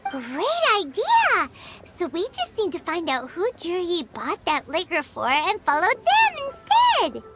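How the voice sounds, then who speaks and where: A woman voicing a small, eager, childlike fairy companion with a squeaky voice in a game. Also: huge pitch swings, slow, full character voice. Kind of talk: animated game voice acting. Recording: music behind the voice. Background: loud game music.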